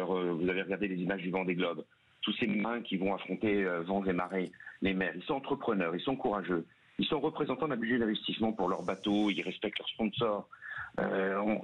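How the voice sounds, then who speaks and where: Guy phoning into radio, low rough voice, muffled line, earnest and emphatic. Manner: emphatic, short deliberate phrases. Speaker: listener calling in to a radio show. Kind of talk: earnest personal opinion. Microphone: telephone. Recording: muffled, band-limited phone call.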